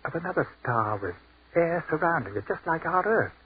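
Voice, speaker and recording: An actor playing an earnest, awestruck army captain in a 1940s radio horror drama, intense and halting. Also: male voice, fairly high pitch, expressive, slightly rough. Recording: muffled, hissy 1940s radio recording.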